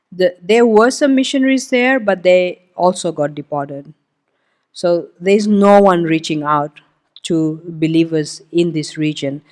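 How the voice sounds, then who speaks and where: A woman whose first language is Hindi, speaking as a missionary guest speaker at a church service. She tells her story informally and talks through a map with frequent "um"s and "uh"s. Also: on the low side. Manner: informal, explains while pointing at a map, frequent "um" and "uh". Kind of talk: conversational testimony talk.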